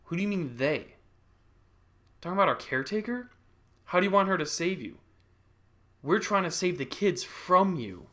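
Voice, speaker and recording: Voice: medium pitch, big pitch swings, moderate pace, long pauses, pushed and bright, pitched above the performer's own voice. A performer voicing a stern male doctor who interrogates with insistent, emphatic accusations. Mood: stern insistence.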